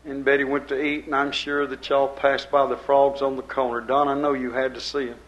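A man's voice: medium pitch, little pitch movement, brisk, dull audio. An older man preaching a sermon to his congregation in a folksy, rambling way, repeating his phrases. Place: church service before a congregation.

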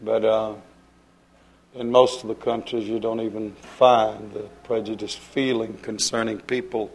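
A man giving an informal sermon in plain, folksy speech, slowing down to list things one by one. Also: on the low side, little pitch movement. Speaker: preacher.

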